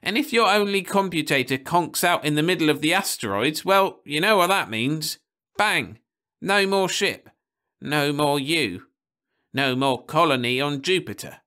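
A man doing a high, jittery old space drifter. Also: unhurried. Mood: eager pleading. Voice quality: high, excited voice. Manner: hasty and pleading. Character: jittery old male ex-drunk computing whiz.

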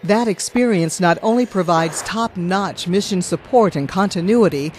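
A woman's voice: on the low side, moderate pace, darkish tone, slight background noise. American woman narrating a scripted news report.

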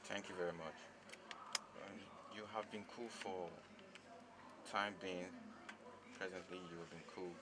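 A man's voice: deep voice, fairly even intonation, unhurried, pauses often, heavy background noise. A man interviewing an artist, asking formal questions politely and a bit hesitantly, recorded in a small studio. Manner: polite and deferential, hesitant with ums. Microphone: handheld mic.

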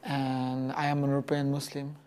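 A man from Belgium with a Moroccan accent, speaking in a measured, hesitant way in a testimonial. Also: medium pitch, completely flat delivery, darkish tone, clear, resonant tone. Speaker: tour participant. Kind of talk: testimonial interview.

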